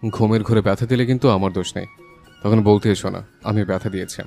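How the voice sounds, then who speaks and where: Woman voicing a low, stern young husband. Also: fairly even intonation, fast talker, vocal fry, mellow, soft background music, exaggerated voice. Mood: exasperated. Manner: curt, scolding. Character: stern, scolding young husband, gruff but caring. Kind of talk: dramatized romance audio story.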